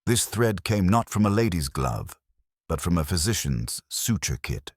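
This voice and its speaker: A man playing a shrewd, courteous Belgian detective in an audiobook, speaking in formal, measured, theatrical deductions. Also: low voice, big pitch swings, darkish tone, no room sound, clean studio sound, full character voice. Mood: gentle, deductive.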